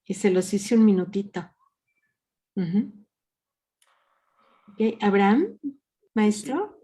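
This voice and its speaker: A woman's voice: medium pitch, big pitch swings, slow, mellow. A woman leading an online workshop, patiently asking her group questions over a video call. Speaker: workshop leader.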